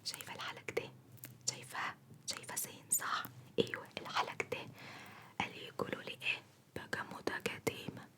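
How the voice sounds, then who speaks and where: A woman voicing a chatty, homesick Upper Egyptian beautician in a breathy whisper, with a Sa'idi accent and effusive, repetitive grumbles and endearments, as an ASMR roleplay. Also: fast talker, long pauses, lightly acted. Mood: indignant, disdainful. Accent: Upper Egyptian (Sa'idi) Arabic.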